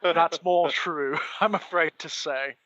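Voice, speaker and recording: Man, podcast co-host, bright tone, dry close sound, wry, playful. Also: fairly high pitch, slow pace, no room sound, dull audio. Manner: wry, playful, slightly formal phrasing. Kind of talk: casual banter.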